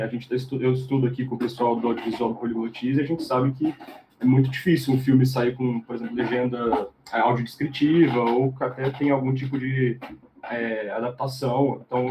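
A man's voice: mid-range voice, flat delivery, fairly quick, warm tone, low-quality recording. A man with a Brazilian Portuguese accent asking a long, searching question about film. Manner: searching, hesitant phrasing. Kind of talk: conversational interview. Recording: faint background noise.

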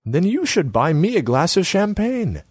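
A man's voice: high-pitched, big pitch swings, moderate pace, husky, no room sound, studio quality, pitched above the performer's own voice, full character voice. A man putting on a haughty young woman artist. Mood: haughty lecturing. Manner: scornful, lecturing, self-important.

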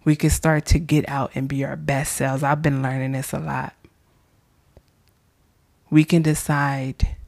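A woman podcast host who is losing her voice, giving gentle faith-based encouragement in a hoarse, soft-spoken way that often drops into whispers. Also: low voice. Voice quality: hoarse, gravelly.

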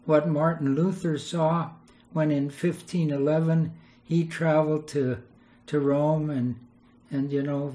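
Older man telling warm, mellow stories. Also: fairly high pitch, poor audio. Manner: chuckling, rambling, relaxed anecdotes. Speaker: retired missionary guest. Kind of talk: storytelling interview.

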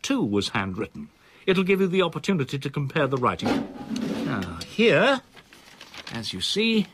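An actor playing a reserved solicitor in his sixties in a radio drama, with a measured, formal, precise manner. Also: medium pitch, fairly bright tone. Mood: brisk, businesslike. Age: 60s. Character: reserved older male solicitor, in his sixties, quietly confiding.

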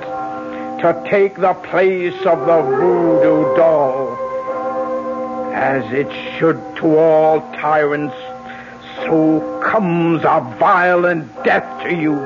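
An older voodoo sorceress, solemn and vengeful. She speaks slowly, with incantatory weight, in radio-play style. The old recording is dull, with music behind her. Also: on the low side. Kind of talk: old radio drama acting.

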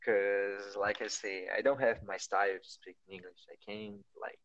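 A man whose first language is Portuguese, with a Brazilian accent, chatting casually as an interview guest and often pausing to search for words. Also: fairly low pitch, steady tone, a bit bright, dry recording, muffled recording. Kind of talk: casual conversation.

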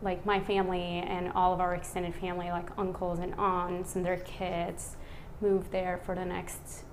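A woman in her thirties from Iraq, a physician speaking as a podcast guest. She talks in a relaxed, reflective way, with many "um" and "like" fillers. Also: lowish voice, normal speed, slight background noise, poor audio. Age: 30s. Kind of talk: casual conversational interview.

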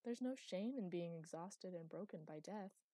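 Woman doing a gentle hound-like woman, Magda. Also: on the low side, lively intonation, moderate pace, dry recording. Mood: soothing.

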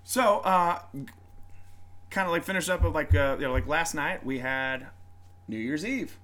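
Man, rambling, joking podcast co-host with a lively, swinging pitch. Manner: rambling, joking, with quick put-on voices. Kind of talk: casual chat.

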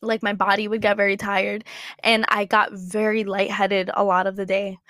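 A woman's voice: mid-range voice, little pitch movement, normal speed, a bit bright, no room sound, studio quality. A young woman hosting a casual faith podcast, congested and with a slight cough, rambling with many fillers and restarts. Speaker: podcast host. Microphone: computer setup, looking at notes.

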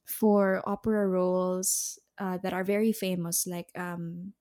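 A young woman, an opera soprano, talking casually, pausing often with fillers and restarts. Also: lowish voice, level tone, dark tone. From Philippines. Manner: hesitant, many fillers and restarts, occasional laughs. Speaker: opera singer, soprano guest. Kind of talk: casual interview chat.

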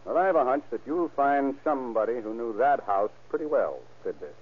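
An actor playing a shrewd, commanding police chief in an old-time radio crime drama. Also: male voice, mid-range voice, expressive, fairly quick, natural voice. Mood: speculating, persuasive. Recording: narrow, tinny vintage radio recording.

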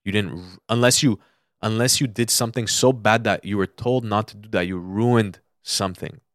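A man's voice: on the low side, steady tone, moderate pace, a bit bright, no room sound, clean studio sound. A young American man co-hosting a podcast, giving casual advice in an emphatic way and repeating his points for stress. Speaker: podcast co-host.

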